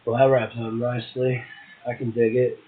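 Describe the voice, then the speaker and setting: A man's voice: medium pitch, flat delivery, moderate pace. A man, a guest poet, reading verse and chatting casually. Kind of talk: poetry reading and casual chat. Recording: tinny phone line.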